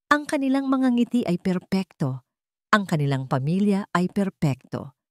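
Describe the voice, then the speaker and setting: Expressive low-voiced Filipino woman narrator. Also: no echo, studio quality. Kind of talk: dramatic scripted story narration, radio drama style.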